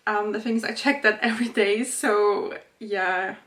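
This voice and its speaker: A woman's voice: mid-range voice, fairly even intonation, unhurried, a bit bright. A woman in her late twenties from Switzerland, chatting casually in a day-in-my-life vlog at home, with fillers and small restarts. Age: 20s. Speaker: vlogger. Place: home kitchen.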